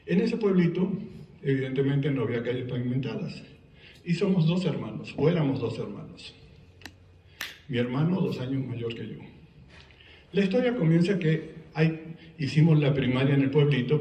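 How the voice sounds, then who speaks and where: A man's voice: medium pitch, highs cut off. A man from Argentina with an Argentine Spanish accent, telling personal stories in a conversational way to a live audience and joking with them. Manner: conversational storyteller, jokes with the audience. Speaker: speaker giving a talk. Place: event hall before an audience. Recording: echoey hall, recorded from a distance.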